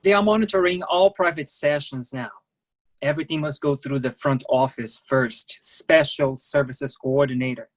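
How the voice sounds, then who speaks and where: An actor in a staged play reading, playing a resigned, candid immigrant massage therapist who speaks in clipped, non-native phrasing, matter-of-factly. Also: male voice, fairly high pitch, moderate pace, close to an everyday voice. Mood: wary, matter-of-fact.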